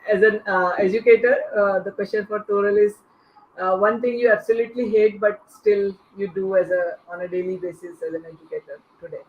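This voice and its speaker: Halting panel host on an echoey, muffled call. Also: medium pitch, steady tone, slight background noise. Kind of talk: unscripted online panel moderation. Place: online video call.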